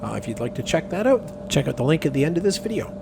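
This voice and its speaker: Man, travel vlog host, fairly high voice, casual scripted narration, steady engine noise behind. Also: fast, vocal fry. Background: aircraft and vehicle hum.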